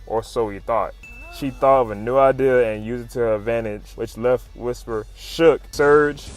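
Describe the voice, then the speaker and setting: A man narrates in a casual hype style, full of slang, swearing and fannish exclamations. His pitch sits in the middle range, and music plays faintly behind him as he recaps. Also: steady tone, normal speed. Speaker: YouTube comic recap narrator. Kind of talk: casual hype commentary, retelling comic fights. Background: music under the voice-over.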